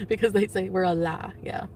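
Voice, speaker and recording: A woman's voice: mid-range voice, steady tone, moderate pace. A woman vlogging and chatting with her viewers, rambling with lots of fillers and frequent laughter. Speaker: vlogger in the clip being reacted to. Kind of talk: casual vlog and live-stream chat. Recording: distant, noisy clip audio.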